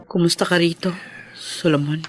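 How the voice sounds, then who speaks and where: An actress with a Filipino accent playing a steadfast, hurt wife of a prisoner, tender and emotional, in a radio drama. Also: female voice, fairly low pitch, fairly even intonation, moderate pace, loud music behind the voice, close to an everyday voice. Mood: gently concerned.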